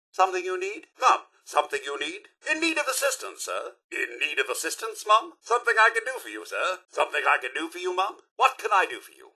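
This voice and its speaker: A man's voice: a little high, sharp tone, breathy edge, heavily acted. An actor playing a polite, fussy, wry male robot butler in a video game, with a British accent and a formal, theatrically prim manner. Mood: eager to serve. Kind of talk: video game character voice lines.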